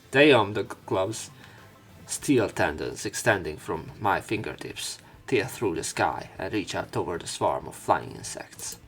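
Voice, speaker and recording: Male let's player, lowish register, reads story text and tosses in irreverent casual remarks, game music underneath. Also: animated, slow pace, fairly bright tone. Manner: irreverent, swearing offhand. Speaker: let's play commentator and narrator. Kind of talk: reading a visual novel aloud with casual commentary.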